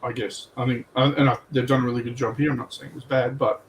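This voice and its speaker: A man's voice: mid-range voice, level tone, quick pace. A man chatting casually on a livestream panel, rambling and often qualifying what he says. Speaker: tournament organiser on a livestream panel. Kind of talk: casual chat. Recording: roomy echo, background noise.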